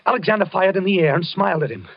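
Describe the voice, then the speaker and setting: An actor playing a nervous, dream-obsessed husband in a 1940s radio drama, defensive and prone to frantic outbursts. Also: male voice, high-pitched, sing-song, very fast, gravelly, full character voice. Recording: dull lo-fi old radio broadcast.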